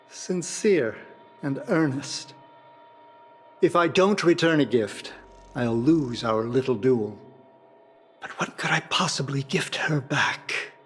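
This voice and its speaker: A high-pitched, wistful young merchant. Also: male voice, slightly rough, soft background music. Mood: nostalgic, wistful. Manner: wistful, rambling, halting. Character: grieving young man, friendly, chatty merchant guild rival. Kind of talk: video game voice acting.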